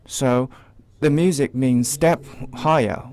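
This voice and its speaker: A man giving spontaneous spoken commentary on a live tour, haltingly repeating and rephrasing as he goes. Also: mid-range voice, a little mellow.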